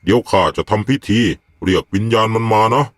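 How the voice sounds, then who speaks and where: A man voicing a firm, deliberate older village father who calmly leads spirit rituals in an animated ghost story. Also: on the low side, no echo, studio quality, voice pushed down, close to an everyday voice. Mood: solemn, calm.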